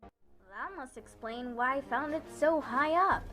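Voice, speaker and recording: A woman acts out an earnest young pony leader in a cartoon-style fan drama. Her voice is high and girlish, with an anxious edge, over loud music. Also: a little slow. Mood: thoughtful. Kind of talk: fan fiction audio drama acting.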